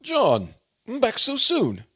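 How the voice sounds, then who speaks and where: Man playing flustered, husky office man Harry. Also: extremely high pitch, wildly swooping pitch, takes it slowly, a bit bright, exaggerated voice. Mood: flustered, dismayed. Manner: hesitant, fussy, dithering. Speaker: radio drama actor. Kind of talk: old-time radio drama acting.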